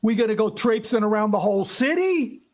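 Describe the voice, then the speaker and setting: A man's voice: sits high, expressive, fairly quick. A man playing a tired, home-loving working husband in a vintage-style radio drama, complaining in plain colloquial speech. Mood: exasperated.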